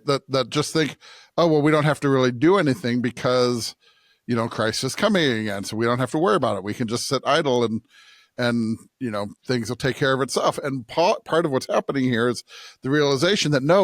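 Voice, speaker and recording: A man's voice: medium pitch, no echo, clean studio sound. A man hosting a Bible podcast with casual, unscripted commentary, repeating phrases as he thinks aloud. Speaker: podcast host discussing scripture.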